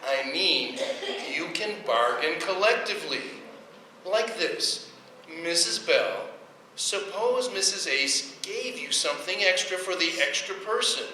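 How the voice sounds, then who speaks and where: A man's voice: high voice, expressive, unhurried, sharp tone, exaggerated voice. A man playing an earnest, helpful announcer reasoning insistently in an old-time radio comedy. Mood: earnest, coaxing. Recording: echoey room, distant mic, faint noise.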